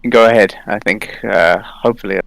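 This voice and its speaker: A man's voice: on the low side, steady tone, normal speed, gravelly, no echo, low-quality recording. Laid-back man on a dry line. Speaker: co-host friend on a call.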